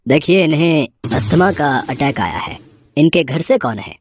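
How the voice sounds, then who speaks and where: A woman voicing a calm hospital doctor in an animated story, measured and matter-of-fact. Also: low-pitched, a little hiss or hum, voice pushed down. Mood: calm, clinical.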